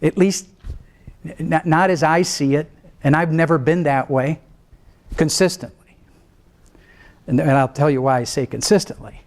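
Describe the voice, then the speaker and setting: A middle-aged man, a trial lawyer, lecturing law students in an animated way, swinging between a low calm voice and sudden raised mimicry. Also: normal speed, pauses often. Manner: animated, mimics other lawyers with rising pitch, then drops low to show restraint.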